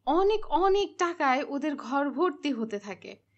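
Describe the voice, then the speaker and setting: A woman's voice: sits high, very animated, unhurried, highs cut off. A woman giving scripted fairy-tale narration for a cartoon. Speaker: story narrator.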